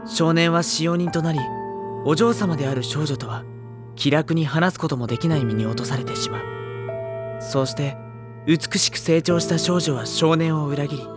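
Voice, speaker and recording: An actor playing a reflective, polite teenage schoolboy, narrating an audio drama in a measured, introspective way. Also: mid-range voice, natural voice. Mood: pensive. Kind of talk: Japanese audio drama, first-person narration. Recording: background music under voice.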